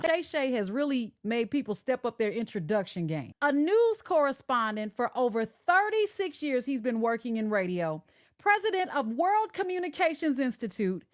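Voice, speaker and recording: A woman's voice: high-pitched, big pitch swings, normal speed, no echo, clean studio sound. A woman hosting a radio show in a casual, chatty way, speaking directly to her listeners. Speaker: radio and podcast show host.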